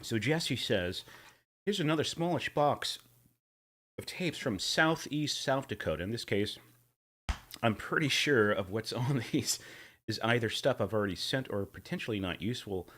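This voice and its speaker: A man hosting a casual livestream, slightly hoarse, rambling and warmly thanking viewers for tips. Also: animated, moderate pace, a little hiss or hum. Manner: rambling, thanks tippers, breaks into silly high voices. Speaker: livestream host.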